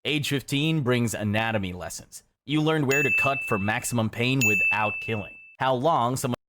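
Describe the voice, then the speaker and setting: A man reading scripted second-person narration in a measured, matter-of-fact way. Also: mid-range voice, very noisy.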